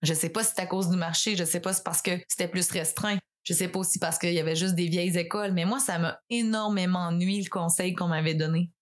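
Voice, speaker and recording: A woman's voice: lowish voice, fairly even intonation, fast, hardly any pauses. A woman in her thirties with a Québécois French accent, hosting a business podcast in a direct manner with joking asides. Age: 30s. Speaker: podcast host. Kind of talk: casual interview conversation.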